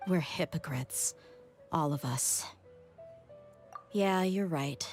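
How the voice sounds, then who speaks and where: A woman's voice: low voice, slow, darkish tone. A woman playing a world-weary but steadfast young desert mercenary in an anime game, reflective and frank. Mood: troubled, reflective. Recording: music behind the voice. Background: game music.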